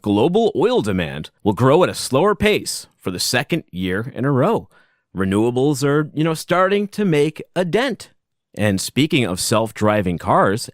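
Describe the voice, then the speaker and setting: A man hosting a solo podcast from his basement, talking loudly and casually, rambling and chuckling as he goes. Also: mid-range voice, dry recording, studio quality. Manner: animated, rambling, self-interrupting, chuckles often; loud voice. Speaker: podcast host. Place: home basement.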